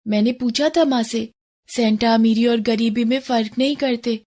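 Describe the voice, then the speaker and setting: A sweet, humble little girl with a high voice, acted by a woman for a cartoon moral story. She talks in a gentle, pleading way, full of earnest hope. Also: steady tone, normal speed, close to an everyday voice. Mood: earnest, hurt.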